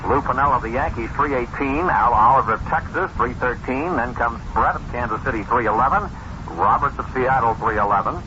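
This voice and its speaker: A man's voice: medium pitch, brisk. A man working as a radio baseball broadcaster, reading out statistics on air. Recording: noisy, dull old radio broadcast.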